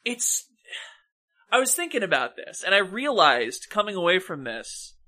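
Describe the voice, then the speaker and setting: A podcast co-host chatting casually about films in an animated, opinionated way, with some swearing. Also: mid-range voice, measured pace. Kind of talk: casual film discussion chat.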